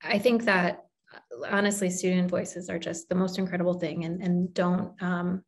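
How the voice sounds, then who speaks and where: A woman's voice: fairly low pitch, level tone, normal speed. A woman answering as a panelist on a video call from an office, earnest and encouraging. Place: office with shelves. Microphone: video call, laptop camera.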